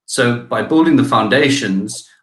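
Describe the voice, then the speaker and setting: A man's voice: mid-range voice, animated. South African man in an echoey room. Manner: analytical, halting with many restarts and fillers. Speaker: guest, digital marketing business owner. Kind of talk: casual interview talk.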